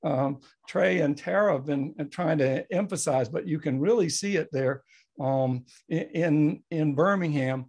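Man, 70s, slightly high voice, reflective and emphatic historian speaking unscripted on a video call. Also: unhurried, a little mellow. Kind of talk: unscripted panel remarks over video call. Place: home office, indoors. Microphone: computer mic on video call.

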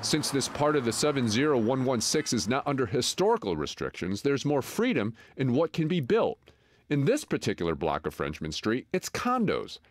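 A man's voice: medium pitch, sing-song, normal speed. A man reporting a local news feature, narrating and interviewing people outdoors on residential streets.

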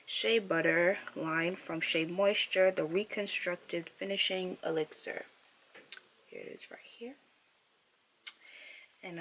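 A woman's voice: fairly low pitch, a bit bright. A young woman in her twenties chatting casually to viewers in a vlog, laughing often, recorded on a camera mic at arm's length. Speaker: vlogger. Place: small bedroom and outdoors on city streets. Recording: camera audio with clear background noise.

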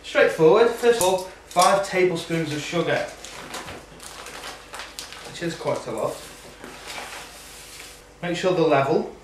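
Male cooking host, 30s, slightly high voice, chatty, recorded from across an echoey kitchen. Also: expressive, slow, long pauses, a little hiss or hum. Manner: chatty, talks while working at the stove. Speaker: home cooking show host. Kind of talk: casual cooking demonstration. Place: home kitchen at night, indoors. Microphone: camera mic from across the room. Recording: roomy, distant camera-mic sound.

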